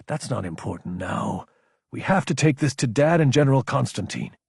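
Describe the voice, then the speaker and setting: A man's voice: medium pitch, lively intonation, moderate pace, vocal fry, a little mellow, slightly rough, dry recording, clean studio sound, full character voice. A man voicing a young prince and military officer who speaks in a stern, blunt, commanding way. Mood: urgent.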